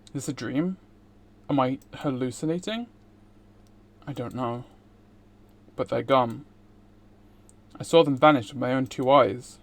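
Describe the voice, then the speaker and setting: A man's voice: medium pitch, measured pace, pauses often. A man streaming a game, reading a visual novel aloud.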